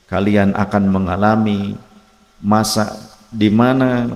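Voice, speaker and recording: A male preacher with an Indonesian accent and a fairly low voice. He delivers patriotic religious oratory in deliberate, repeated rhetorical phrases. The sound is very echoey, with a long hall reverb trailing his words. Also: darkish tone.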